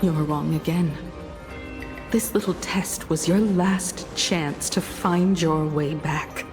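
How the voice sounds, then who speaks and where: Slow, stern, resolute heroine. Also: female voice, sits low. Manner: deliberate, firm, confrontational, measured. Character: resolute, stern heroine, a ship's navigator facing down an enemy. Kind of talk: dramatic video game voice acting. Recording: loud game music behind. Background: game soundtrack music.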